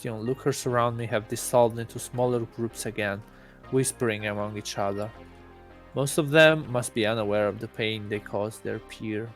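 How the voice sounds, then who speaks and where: A man's voice: fairly low pitch, moderate pace, faint music behind, lightly acted. A man voicing an aloof, deluded god posing as a student in a visual novel, musing in a self-important, awkwardly earnest way. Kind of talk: first-person visual novel narration.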